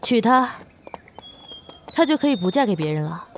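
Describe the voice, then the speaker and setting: A woman's voice: on the high side, big pitch swings, moderate pace, a little hiss or hum. A woman playing a loyal bodyguard disguised as a man, composed and earnest with a regretful streak, in a period audio drama. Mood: frank, steady.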